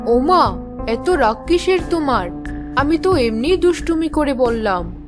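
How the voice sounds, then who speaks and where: A woman's voice: sits high, animated, unhurried. A woman voicing a teasing, gossipy village neighbour in a children's fairy-tale cartoon. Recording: music behind the voice.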